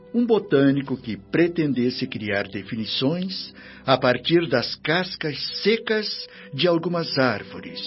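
A stern, wise spirit minister admonishing a disciple in a radio drama. His speech is formal and measured, with expressive, swinging pitch. The recording is slightly dull, with faint music underneath. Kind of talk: radio drama acting, preachy dialogue.

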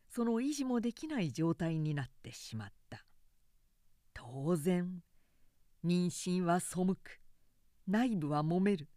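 A woman reads scripted literary prose as a narrator. Her pace is very unhurried and her phrasing precise, like a trained announcer. The sound is very dry, with no audible room. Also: fairly low pitch, dark tone, dry recording. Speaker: narrator reading aloud.

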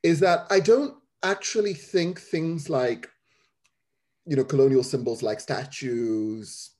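A man speaking as an academic panelist, answering questions in a measured, considered way. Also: fairly high pitch, a little mellow.

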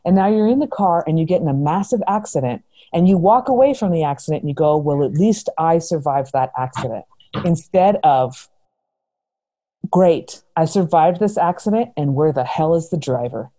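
Mellow-voiced woman, low and emphatic. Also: lively intonation, normal speed. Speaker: host. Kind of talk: casual conversational discussion.

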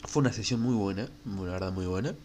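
Young Argentine guy with a bad cold, blocked nose, slightly low voice, rambling crudely through his weekly podcast. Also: fast talker, darkish tone, a little hiss or hum. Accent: Rioplatense Spanish. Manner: rambling, crude and frank, many fillers. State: stuffy nose from a cold, coughing. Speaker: podcast host. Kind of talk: casual solo podcast monologue.

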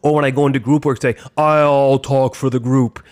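A man in his forties co-hosting a casual podcast chat, with a big booming theatrical delivery. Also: fairly even intonation, moderate pace, a little mellow. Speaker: podcast co-host, teacher.

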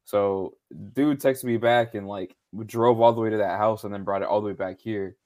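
A man with a slightly low voice that is going hoarse, talking in a loose, easygoing way. He swears freely and keeps tossing in "bro" and "man" as he co-hosts a live show. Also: steady tone, moderate pace, a little mellow. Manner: laid-back, loose, swears a lot, says "bro" and "man". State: losing his voice. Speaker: podcast co-host. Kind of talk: casual live-stream chat.

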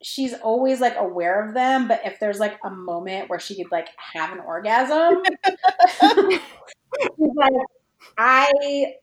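A woman chatting informally about fan fiction, halting and restarting, with "like" scattered all through her sentences. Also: a little high, lively intonation. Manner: halting, informal, heavy use of "like". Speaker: podcast guest, fan fiction writer. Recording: roomy, echoey sound.

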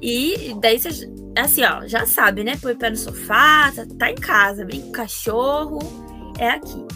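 Animated Brazilian woman hosting a podcast. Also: on the high side, normal speed, over music. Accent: Brazilian Portuguese. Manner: animated, emphatic, often exclaims "gente". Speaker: podcast host. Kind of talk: casual podcast interview.

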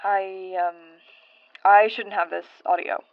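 An actress playing a suspicious, puzzled woman archivist in an audio horror drama, brisk and questioning. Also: medium pitch, steady tone, narrow, tinny audio, slight background noise. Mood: baffled.

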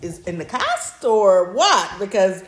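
A woman's voice: medium pitch, big pitch swings, slow. A woman co-hosting a podcast, chatting informally and animatedly and often acting out her own thoughts. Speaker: podcast co-host. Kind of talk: casual chat about fan fiction recordings. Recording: echoey, roomy voice pickup.

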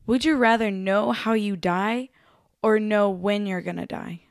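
A woman's voice: medium pitch, steady tone, measured pace, vocal fry, no echo, clean studio sound. A young woman co-hosting a podcast, chatting in a laid-back, slangy way. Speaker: podcast co-host. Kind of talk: casual chat.

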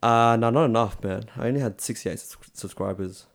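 Young aussie bloke, real low voice with lots of fry, just chatting loose with his mate, says bro a lot. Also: fairly quick. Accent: Australian. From Australia. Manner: laid-back slangy talk, lots of "like" and "bro". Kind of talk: casual chat.

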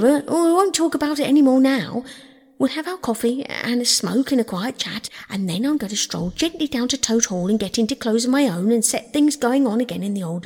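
A husky storybook toad, acted out by a woman, all conceit and excitability. He talks like an old-fashioned country gentleman, swooping widely in pitch, in a space with long reverb. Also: heavily acted. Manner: chatty, old-fashioned genteel, dramatic.

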